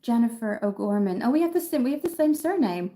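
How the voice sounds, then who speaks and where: A woman's voice: on the high side, lively intonation, fast, dark tone, slight background noise. A woman from Dublin chatting casually on a beauty livestream, upbeat and full of ums as she answers viewer comments. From Ireland, Dublin. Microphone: phone camera.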